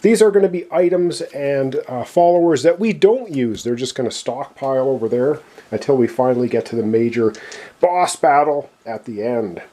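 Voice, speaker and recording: Male tabletop game presenter. Mellow, slightly husky voice, casual step-by-step explainer delivery. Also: medium pitch, lively intonation, normal speed. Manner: explanatory, talking viewers through step by step. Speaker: board game player and presenter. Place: indoors at a gaming table.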